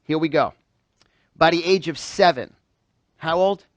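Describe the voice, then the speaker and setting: A man's voice: a little high, a little slow, no echo, muffled recording. A man from New York giving a motivational seminar, emphatic, repeating phrases and firing questions at his listeners. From New York, United States. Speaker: motivational speaker. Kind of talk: motivational seminar talk to a live audience. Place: live event room with a small audience.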